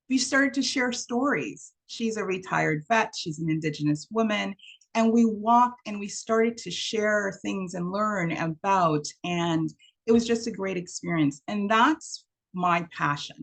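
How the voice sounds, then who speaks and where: A woman in her fifties, originally from Trinidad, leads an online workshop. Her voice sits a little low with a gravelly edge. She tells stories and acts out what people said, heard through a video call. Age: 50s. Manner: warm storytelling, acts out quotes. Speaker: workshop speaker.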